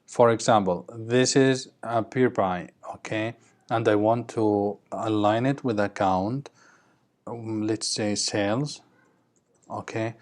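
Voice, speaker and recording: Low-pitched man giving a software tutorial. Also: steady tone, slow. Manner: instructional, checks in with okay. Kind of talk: screen-recorded tutorial, explaining step by step.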